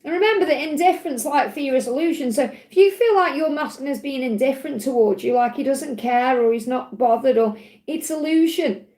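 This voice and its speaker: A high-voiced woman, a little croaky today, talks live to her viewers in a reassuring, advising way. She keeps checking in with a soft "okay?" as she goes. The room around her is echoey. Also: lively intonation, a little fast. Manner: gently advising, repeats "okay?" often. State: slightly croaky voice. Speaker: spiritual reader answering live chat questions. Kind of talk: live-stream Q&A, casual and reassuring.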